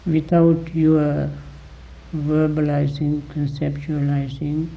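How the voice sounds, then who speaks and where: Slow, gravelly man teaching meditation. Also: a little high, completely flat delivery, dull audio. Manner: deliberate, instructive, with long pauses between short phrases. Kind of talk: guided meditation instruction.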